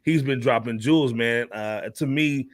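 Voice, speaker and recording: A man's voice: medium pitch, measured pace, a loud crowd. Slangy American man talking hip hop. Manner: slangy, emphatic, repeats himself. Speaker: podcast host. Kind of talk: casual hip hop panel discussion.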